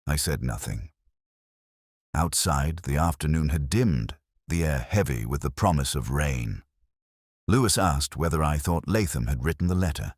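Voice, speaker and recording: A man narrating a detective mystery in the first person, in a measured, literary and wry manner. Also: extremely low pitch, no echo, studio quality.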